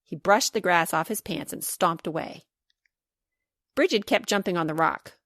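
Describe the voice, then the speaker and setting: A woman reading a children's storybook aloud as scripted narration, expressive and clearly paced. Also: on the low side, normal speed, dry recording, studio quality. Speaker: author reading her children's book.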